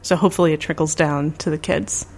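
A woman's voice: sits low, fairly even intonation, quick pace, darkish tone. A woman hosting a podcast interview, asking questions in a friendly conversational way. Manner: conversational, affirming, light laughs. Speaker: podcast host.

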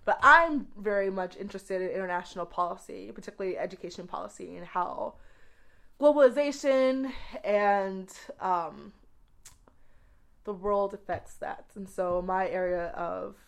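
A woman from the United States giving conversational interview answers as a guest, expressive and repeating words for emphasis. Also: mid-range voice, moderate pace, gravelly. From grew up in the United States. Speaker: podcast guest, education researcher.

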